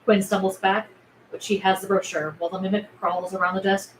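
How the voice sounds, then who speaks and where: A roomy echo and background noise surround a woman with a mid-pitched voice. She reads out scene headings and stage directions from a film script as scripted narration. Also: little pitch movement, brisk. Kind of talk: scripted narration at a table read.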